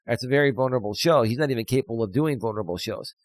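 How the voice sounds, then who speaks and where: A man's voice: mid-range voice, racing, dry recording. A man co-hosting a podcast, talking passionately and often restarting his words. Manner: describes himself as loud and projecting. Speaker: podcast co-host. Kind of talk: casual podcast talk.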